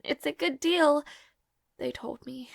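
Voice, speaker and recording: Woman acting a high-pitched, anguished young maid. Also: no echo, clean studio sound, full character voice. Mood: resigned, sorrowful. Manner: halting, stammering, breathy pleading. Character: young maid, timid, devoted, anguished, dutiful daughter. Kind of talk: dramatic audio roleplay acting.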